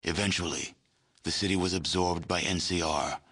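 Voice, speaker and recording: A very deep-voiced man narrating a post-apocalyptic game. He is grave and world-weary. His delivery is measured and solemn, like a formal chronicle of fallen cities. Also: fairly even intonation, a bit bright, breathy edge. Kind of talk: scripted dramatic narration.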